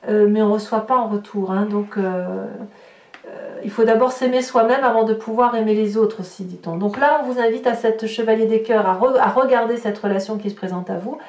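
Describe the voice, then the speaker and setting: A woman giving an informal tarot reading to viewers, in a halting way with repeated words and little tag questions. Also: medium pitch, a little mellow, lo-fi sound. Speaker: tarot reader presenting horoscope readings. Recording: roomy echo, distant mic, faint noise.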